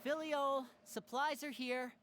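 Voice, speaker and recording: A woman's voice: high voice, steady tone, takes it slowly, a bit bright, a little hiss or hum. A woman playing a composed, friendly young member of an orphan family in an anime game dub, reassuring and articulate.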